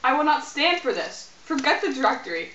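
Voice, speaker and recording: A woman's voice: high voice, very animated, a little fast, a bit bright, echoey room, far from the mic, voice pushed up. A woman playing a proud, commanding male emperor in an amateur school puppet show. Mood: imperious. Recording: dull, faintly noisy amateur recording.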